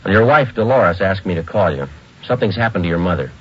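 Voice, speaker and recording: A man's voice: sits low, lively intonation, fast, breathy edge. A man acting a terse, businesslike detective sergeant in an old radio crime drama, with an American accent and a clipped, matter-of-fact delivery. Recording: muffled, hissy old radio recording.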